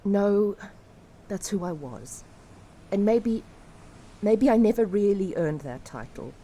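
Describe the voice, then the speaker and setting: Audio drama casting: actress as a despairing refugee woman, dark mellow voice, medium pitch, halting and confessional, weighed down by guilt. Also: expressive, slight background noise. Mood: resigned, self-doubting. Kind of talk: dramatic audio fiction acting.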